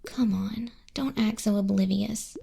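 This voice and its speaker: A smug demon clerk from hell's front desk, played by a woman, speaks in a hushed ASMR murmur that often drops to a whisper. The voice is husky and mellow with a creaky edge. She is condescending and teasing, with the dry patience of a bureaucrat. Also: mid-range voice, fairly even intonation, measured pace, heavily acted. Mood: smug, scolding. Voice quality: soft, breathy, husky. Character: smug female demon clerk registering sinners in hell. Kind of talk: ASMR roleplay, soft-spoken and whispered.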